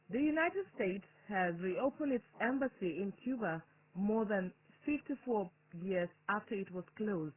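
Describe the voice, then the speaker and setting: A newsreader with a Nigerian accent reads scripted news in a formal, measured way over a shortwave radio broadcast. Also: mid-range voice. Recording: muffled narrow-band digital shortwave radio broadcast.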